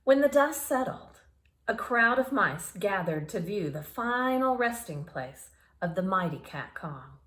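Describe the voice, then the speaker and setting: A woman storyteller reads to children with big, lively swings in pitch. Her pace is slow and unhurried. She is playful and expressive, often turning to speak straight to her young listeners. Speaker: storyteller reading picture books aloud for children. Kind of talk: animated read-aloud with asides to young listeners.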